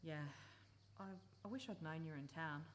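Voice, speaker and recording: A woman playing a wistful filmmaker in a radio play, earnest and tender as a new love. Her voice is on the low side, with noise behind it in a live recording. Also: moderate pace, natural voice. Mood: chatty.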